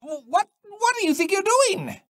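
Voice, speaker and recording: A woman's voice: extremely high pitch, animated, normal speed, vocal fry, dry recording, clean studio sound, heavily acted. A woman voicing an anxious, flustered ghostly ice cream man who pleads to protect children. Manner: stammering, pleading.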